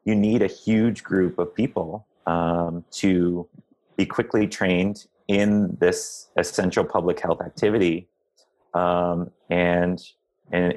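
Low-voiced man explaining in halting phrases. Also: darkish tone, rough recording. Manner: measured, halting, searching for words. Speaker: interview guest, public health leader. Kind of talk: explanatory interview answers.

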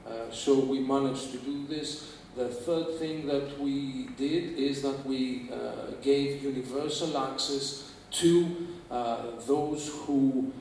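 A man's voice: medium pitch, steady tone, slow pace, vocal fry, hardly any pauses, a little mellow. A man from Greece, giving a panel talk with many fillers and restarts. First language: Greek. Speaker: panel speaker, government representative. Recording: echoey hall recording from far off, faint hiss.

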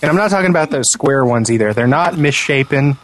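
A man co-hosting a podcast, chatting and joking in a mock-serious deadpan. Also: mid-range voice, a little fast, a little hiss or hum. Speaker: podcast co-host reading listener questions. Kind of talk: casual joking chat.

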